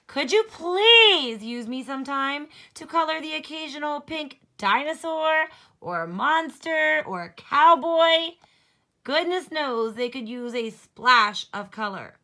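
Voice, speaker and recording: A sassy pink crayon from a children's storybook, acted out by a woman. The voice is high and very bright, with slow, punchy emphasis. It sounds indignant and bossy, as if lecturing a child. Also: animated, full character voice. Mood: pleading, proud. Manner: emphatic, bossy, exaggerated delivery.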